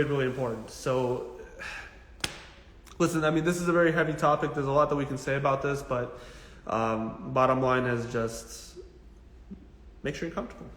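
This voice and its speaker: A man giving casual, informal health advice as a physical therapist answering questions, in a blunt, jokey, animated way with emphatic repetition. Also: mid-range voice. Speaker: physical therapist hosting a live Q&A. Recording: echoey, reverberant room.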